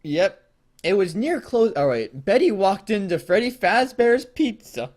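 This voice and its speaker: Male co-host, lightly high pitch, highly expressive delivery, crude mocking banter over amateur fiction. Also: unhurried. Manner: crude, animated, giggly joking. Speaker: co-host of a fan fiction reading show. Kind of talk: casual banter and mocking read-aloud.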